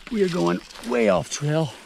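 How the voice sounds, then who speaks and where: A man's voice: sits high, expressive, measured pace, a little mellow, rough recording. A man talking casually to himself in short, exclamatory bursts as he hikes and films outdoors. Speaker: hiking vlogger. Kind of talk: casual off-the-cuff trail narration. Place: outdoors on foot in a rocky wooded canyon. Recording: outdoor recording with clear handling and nature noise.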